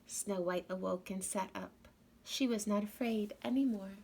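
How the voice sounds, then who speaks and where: A woman's voice: medium pitch, unhurried, creaky, a little mellow, slightly rough. Woman reading a children's storybook. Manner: addresses young listeners with questions. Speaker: storybook reader. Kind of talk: expressive children's read-aloud.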